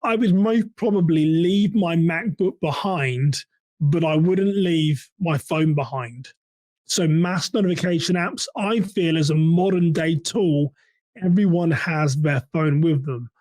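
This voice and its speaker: A man's voice: on the high side, a little slow, darkish tone, no room sound. A man hosting a solo podcast, explaining things conversationally as a practitioner and putting questions to the listener. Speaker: podcast host and church security practitioner.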